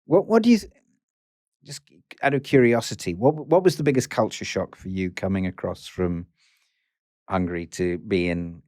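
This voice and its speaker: A man's voice: low-pitched, normal speed, a little mellow, dry recording, clean studio sound. A man hosting an interview, encouraging his guest and often restarting his questions.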